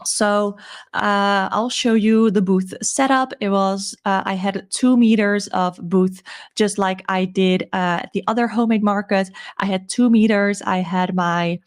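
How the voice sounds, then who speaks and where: A woman with a croaky voice giving a casual, chatty recap as a vlog host, informal and a little hesitant as she thinks aloud. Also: medium pitch, steady tone, a little slow. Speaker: crochet seller and video host.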